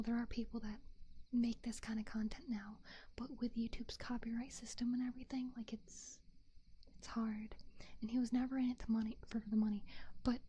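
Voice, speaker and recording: A woman livestreamer chatting casually with her viewers in a soft, breathy ASMR whisper, playful and self-deprecating, into a binaural microphone. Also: a little high, a little hiss or hum. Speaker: livestreamer, ASMR roleplay host.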